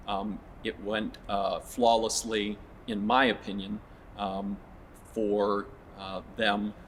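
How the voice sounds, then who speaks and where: A man with a slightly low, flat voice delivers a formal public statement as a school administrator. His manner is measured and businesslike. Faint noise sits behind the speech.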